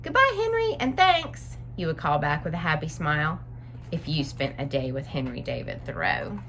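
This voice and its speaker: A woman hosting story time, reading aloud and explaining things simply to young listeners in an expressive, instructive way. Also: low-pitched, normal speed, slight background noise.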